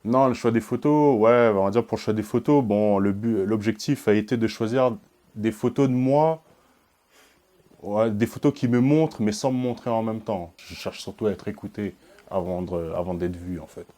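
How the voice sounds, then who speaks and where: A man's voice: lowish voice, normal speed, mellow. A man from French Guiana, a music producer and painter, answering interview questions hesitantly with many fillers.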